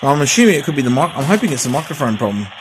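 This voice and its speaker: A man's voice: mid-range voice, very animated, rapid-fire, background noise. A middle-aged man chatting casually through a radio unboxing and repair, rambling with fillers and restarts. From Australia. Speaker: hobbyist vlogger.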